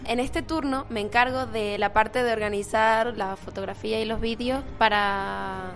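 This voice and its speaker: A young woman speaks casually as an interview guest. Her voice sits fairly high, with some music in the background. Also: steady tone, normal speed. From Tenerife, Canary Islands, Spain. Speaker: interviewee, camp video team member. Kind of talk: casual chat.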